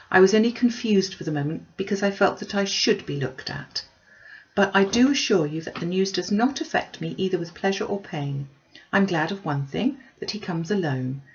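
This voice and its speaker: A woman voicing a gentle, composed young lady in a classic novel, earnest and self-denying. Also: lowish voice, muffled recording. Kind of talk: classic novel read-aloud.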